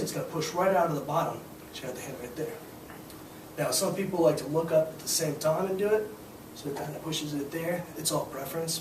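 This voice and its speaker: A hoarse young man teaching a scuba class in a loose, chatty way, full of "um"s and "all right?"s. His voice sits a little high, and it's picked up from a distance in an echoey room with steady background noise. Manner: casual, explanatory, frequent fillers. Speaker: scuba instructor. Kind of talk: hands-on demonstration to a class.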